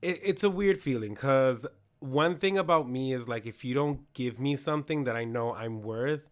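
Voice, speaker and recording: A hoarse man co-hosting a podcast, chatting candidly about his week and quoting himself in asides. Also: medium pitch, normal speed. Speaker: podcast co-host. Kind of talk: casual chat.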